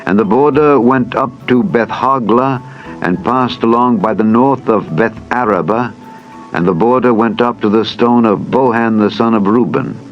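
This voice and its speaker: A man reading scripture as a narrator in a solemn, measured, formal manner, as in a dramatized audio Bible. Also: medium pitch. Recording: dull lo-fi audio with music behind. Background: background music.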